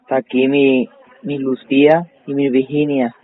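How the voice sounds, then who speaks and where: Un hombre que interpreta a una madre mayor, ingenua y evasiva, en una broma telefónica. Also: male voice, medium pitch, little pitch movement, a little slow, natural voice. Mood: naive confusion. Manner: evasive, naive, repetitive. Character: confused, illiterate old mother answering the phone. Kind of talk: prank phone call. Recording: muffled, tinny phone line.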